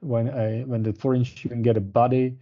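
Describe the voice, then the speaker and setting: A man's voice: medium pitch, little pitch movement, a little fast. A man presenting a university programme in a webinar, speaking hesitantly with many fillers and restarts. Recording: muffled lo-fi webinar audio.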